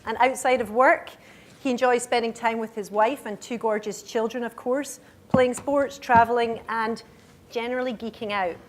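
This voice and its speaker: A woman hosting an event, reading a speaker's bio in a brisk list before handing over. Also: a little high, steady tone. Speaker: event host introducing a speaker. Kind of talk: speaker introduction. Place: stage at a tech meetup.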